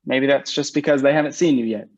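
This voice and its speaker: A man's voice: mid-range voice, fairly even intonation, fast, lightly acted. A man reading a nervous young groom in a virtual staged reading, with an anxious, guarded delivery. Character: nervous groom in his twenties. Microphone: video call. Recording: muffled lo-fi video call audio.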